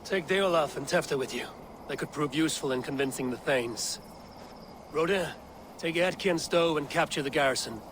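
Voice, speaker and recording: An actor playing a fierce, vengeful Norse war leader in a video game, commanding and solemn. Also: male voice, on the high side, normal speed, background noise, natural voice. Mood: grim, resolute. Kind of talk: dramatic video game acting.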